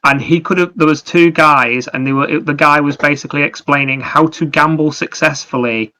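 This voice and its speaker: A man's voice: a little high, steady tone, moderate pace, pushed and bright, poor audio. A British man talking in a casual, conversational style as a podcast co-host. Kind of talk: casual chat.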